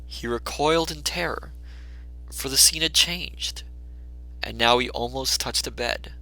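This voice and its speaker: Male narrator for classic fiction: dramatic scripted read, forceful bright tone, some fry. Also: medium pitch, measured pace, rough recording. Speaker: storyteller, narrator.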